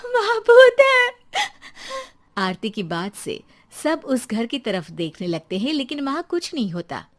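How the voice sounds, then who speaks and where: A woman's voice: mid-range voice, very animated, moderate pace, few pauses. A woman narrating a scripted story in a dramatic storytelling style.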